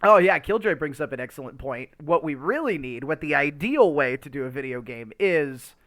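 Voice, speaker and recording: A man's voice: a little high, animated, moderate pace, a bit bright. A man co-hosting a podcast in casual, rambling chat, emphatic and swearing freely as he gives his opinions. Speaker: podcast co-host.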